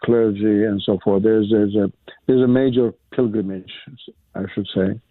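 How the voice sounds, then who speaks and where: A man from Romania, a priest calling in to a radio show, speaking haltingly with many hesitations. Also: lowish voice, steady tone, no room sound. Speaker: caller, priest. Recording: tinny phone line.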